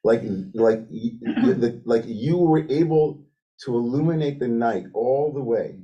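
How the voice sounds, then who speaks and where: A man leading a lively study discussion as rabbi, thinking aloud with many restarts and "like" fillers. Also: mid-range voice, unhurried, muffled recording. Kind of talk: animated teaching discussion. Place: synagogue room with a Zoom link.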